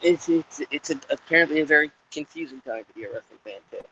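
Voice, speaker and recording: A man co-hosting a casual wrestling podcast who talks in halting restarts and drifts into jokes. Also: on the high side, sharp tone, a little hiss or hum, poor audio. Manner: hesitant, many restarts, joking. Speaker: podcast co-host. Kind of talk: casual chat.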